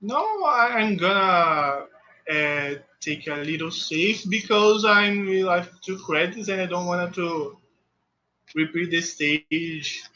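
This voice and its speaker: Slow, bright-voiced man with a Portuguese accent. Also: high-pitched, lively intonation, highs cut off. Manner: halting, restarts phrases, self-mocking. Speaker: speedrunner. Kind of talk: live gameplay commentary.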